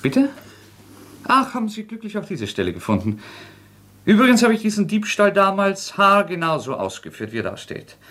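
An actor playing a proud young intellectual murderer in a radio drama, sarcastic, agitated and theatrical, defiant and brittle. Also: male voice, high voice, huge pitch swings, normal speed, a bit bright, heavily acted. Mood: feverish boasting. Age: young adult. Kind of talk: German radio drama acting.

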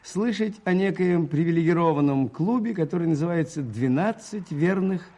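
A husky, mellow and fairly high male voice as a modest parish priest in an old radio play. He speaks gently and without hurry, with a playful, perceptive touch. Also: expressive, moderate pace. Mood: mild, inquisitive. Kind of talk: 1980s Soviet radio drama acting.

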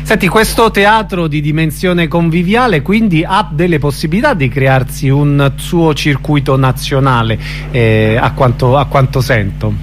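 A man's voice: on the high side, moderate pace, over music. A man hosting an informal radio interview, phrasing things a little hesitantly and repeating himself. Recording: radio audio with faint hum.

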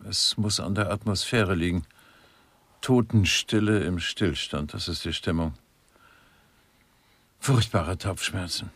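An actor in a radio play playing a grumpy, misanthropic old critic, pedantic and world-weary. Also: male voice, sits low, slow pace, pauses often, fairly bright tone, husky, no echo, exaggerated voice. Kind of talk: German radio play acting.

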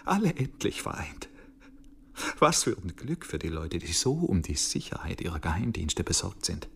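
A low, gravelly police detective in a radio crime play. He talks at length with biting irony and swings animatedly through his phrases, with faint noise around him. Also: very animated, normal speed, heavily acted. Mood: sardonic. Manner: ironic, wordy, needling. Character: sardonic male chief police detective, talkative under threat. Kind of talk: radio crime drama acting.

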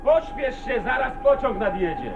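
An actor playing a teasing, protective husband in a radio drama, with an expressive manner. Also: male voice, extremely high pitch, normal speed, vocal fry, lo-fi sound, exaggerated voice. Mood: urgent. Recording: slightly noisy 1980s radio recording.

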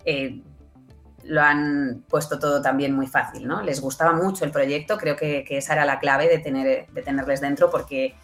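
A woman's voice: low-pitched, steady tone, moderate pace, fairly bright tone, faint music behind. A woman with a Spanish accent from Spain talks casually and enthusiastically as an interview guest, often checking in with little tag questions. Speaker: podcast producer and event presenter, interview guest.

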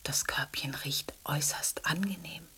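An actress playing a self-possessed, comfort-loving female cat in a radio drama, musing in a genteel, theatrical way with a husky, breathy voice. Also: sits low, unhurried, sharp tone, in a whisper. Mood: musing, cosy.

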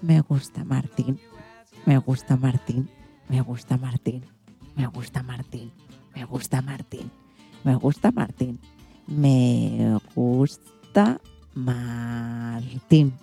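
A woman's voice: rumbling low, steady tone, slow, creaky, pauses often, dark tone, breathy edge, faint music behind, pitched below the performer's own voice, exaggerated voice. A woman playing a dreamy, lovestruck little girl who tells her own story simply and wistfully in a children's radio play.

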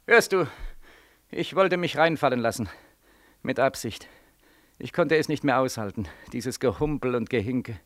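An actor in a 1940s German radio play voicing a bitter, despairing young soldier home from war, sarcastic and emphatic. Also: male voice, mid-range voice, animated, moderate pace, long pauses, natural voice. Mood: bitter sarcasm. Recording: vintage 1940s radio studio recording.

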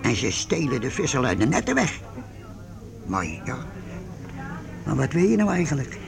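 An actor in a Dutch radio play voicing a timid, folksy medieval market man with a gravelly voice. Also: medium pitch, big pitch swings, normal speed, a crowd in the background. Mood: hesitant, grumbling.

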